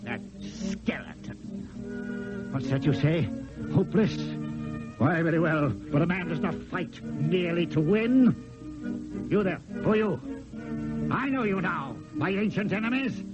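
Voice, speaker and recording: An actor playing a proud, lovelorn, dying poet-swordsman in an old-time radio play, with theatrical, declamatory delivery and drawn-out poetic phrases. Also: sits high, lively intonation. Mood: defiant, dying. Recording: dull lo-fi old radio, music.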